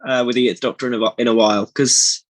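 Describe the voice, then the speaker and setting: A man's voice: mid-range voice, steady tone. A man who acts in audio dramas, chatting casually on a behind-the-scenes podcast, often restarting his phrases. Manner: halting, restarts phrases repeatedly.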